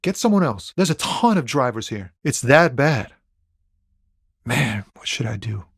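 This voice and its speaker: An actor playing a laid-back, philosophical truck driver and aspiring poet in a video game, talking in a musing, slangy way. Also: male voice, mid-range voice, sing-song, unhurried, creaky, husky, no room sound, studio quality, heavily acted. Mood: troubled, pleading. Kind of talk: conversational video game character dialogue.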